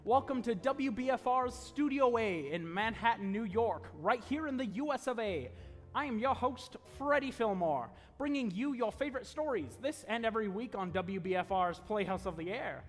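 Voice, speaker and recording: A man acts out a jovial old-time radio host with a high-pitched, showman delivery. He announces grandly, as in forties radio, and the voice rings through a hall. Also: animated, normal speed. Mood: grand, welcoming. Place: theater stage before a live audience. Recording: echoey live hall taping.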